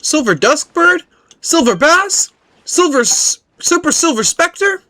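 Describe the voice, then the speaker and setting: A man's voice: extremely high pitch, sing-song, slow, bright, forceful tone, dry recording, voice pushed up, exaggerated voice. A man voicing eager, playful village children in a fantasy video game, childlike and boastful. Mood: excited.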